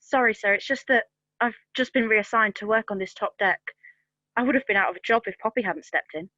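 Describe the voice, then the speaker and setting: A woman's voice: mid-range voice, a little fast, pushed and bright, slight background noise, close to an everyday voice. A woman playing a flustered, apologetic waitress in a comedy radio play, anxious and deferential. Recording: dull, muffled home recording.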